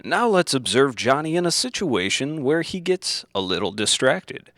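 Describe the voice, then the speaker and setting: A man reading scripted instructional narration in the manner of an old educational film, deliberate and didactic, in simple phrases. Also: medium pitch, big pitch swings, fairly bright tone, no room sound, studio quality.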